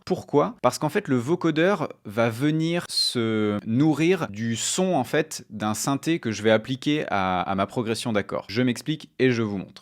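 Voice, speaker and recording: A man, presenting a casual music software tutorial on a close studio microphone in a home room. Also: mid-range voice. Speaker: music production tutorial host. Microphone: studio microphone close in front.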